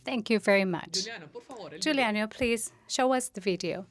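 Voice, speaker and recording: A woman's voice: medium pitch, moderate pace. A woman interpreting live at an online conference, hesitant and often correcting herself mid-phrase. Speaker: simultaneous interpreter. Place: remote online session.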